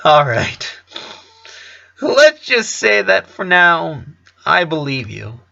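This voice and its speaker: A man plays a cartoon boy of eleven with a bright voice. The boy is inquisitive and doubtful, an only son in a fan fiction story. The sound is a little dull. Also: mid-range voice, big pitch swings, unhurried, vocal fry, exaggerated voice. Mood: playfully doubtful. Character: curious, skeptical eleven-year-old boy, the only son.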